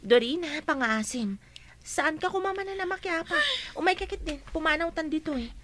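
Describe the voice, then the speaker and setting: Chiding young classmate, very high bright voice, Ilocano accent, radio drama. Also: female voice, fairly quick, a little hiss or hum. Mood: pleading, chiding.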